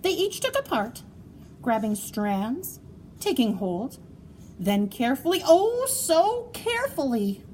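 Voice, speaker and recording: Very animated, high-voiced woman reading a storybook. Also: a little hiss or hum. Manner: expressive, playful, dramatic emphasis and drawn-out words. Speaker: storybook reader. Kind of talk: animated children's story read-aloud.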